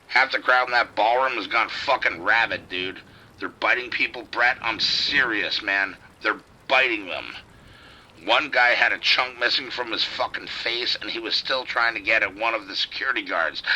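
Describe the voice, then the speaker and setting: A man's voice: medium pitch, sharp tone, poor audio. An actor playing a frightened male police officer calling for help over the radio, urgent and swearing. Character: male police officer trapped in a casino outbreak. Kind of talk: audio drama acting.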